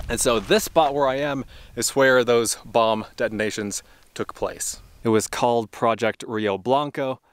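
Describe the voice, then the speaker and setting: A man's voice: mid-range voice, a bit bright, clean tone. A young adult man narrating a casual outdoor travel vlog, easygoing and thinking aloud, with small laughs as he goes. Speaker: travel vlogger. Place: outdoors at a remote test site.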